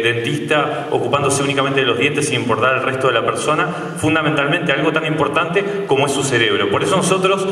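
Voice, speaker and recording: A man's voice: fairly high pitch, pushed and bright. A man in his thirties with a Uruguayan Spanish accent, a dentist giving a conversational stage talk with frequent hesitations. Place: large theatre stage. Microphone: handheld mic on a stand, filmed from far off. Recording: roomy hall sound from a distant camera.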